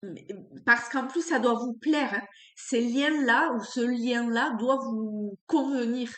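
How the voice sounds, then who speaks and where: A woman with a cold doing an unscripted tarot reading, pausing often and searching for words. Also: a little high, a bit bright, roomy sound. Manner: halting, searching for words with many fillers. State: has a cold. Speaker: tarot card reader.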